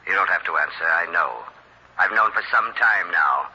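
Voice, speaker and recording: Sharp, husky villainous professor, old radio drama. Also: male voice, low voice, lively intonation, exaggerated voice. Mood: smug menace. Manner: menacing, gloating, formal and deliberate. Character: villainous professor, megalomaniac murderer posing as a vigilante. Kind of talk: 1940s radio drama acting. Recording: muffled old radio recording.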